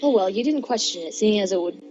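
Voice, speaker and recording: A woman's voice: medium pitch, animated, fast, dark tone, slight background noise. A young woman reading fan fiction aloud to friends, giggly and stumbling over words, with joking asides. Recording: dull lo-fi mic, clear chatter around. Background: friends chattering and laughing.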